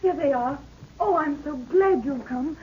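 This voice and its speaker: An excitable, devoted young daughter in a vintage radio serial. Her high voice comes through a tinny, phone-like recording with faint noise. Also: a little slow. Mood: relieved. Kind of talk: 1940s radio serial acting.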